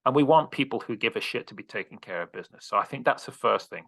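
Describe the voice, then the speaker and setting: A man's voice: mid-range voice, lively intonation, fast talker, gravelly, fairly bright tone. A man coaching on presentations, answering interview questions in a direct, casual way with casual swearing.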